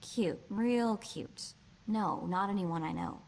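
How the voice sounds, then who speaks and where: A woman's voice: mid-range voice, slow, a little mellow, slight background noise. A woman voicing a plucky, street-smart young slicer in a video game, wry and breezy, with clipped slangy talk.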